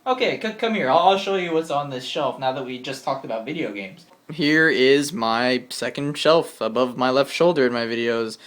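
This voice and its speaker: Chill young guy in his twenties, talks casual with lots of likes and ums, mid-pitched voice. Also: moderate pace, hardly any pauses, fairly bright tone. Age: 20s. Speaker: channel host answering viewer questions. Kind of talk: casual Q&A vlog. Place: bedroom with game shelves.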